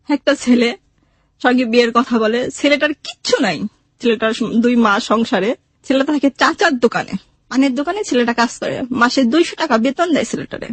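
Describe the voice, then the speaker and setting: A woman with a clearly high voice and a Bangladeshi accent shares a personal story. She speaks plaintively and voices remembered conversations as she goes. The recording is dry and close. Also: no echo. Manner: plaintive, acts out remembered dialogue. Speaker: guest telling her life story. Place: radio studio.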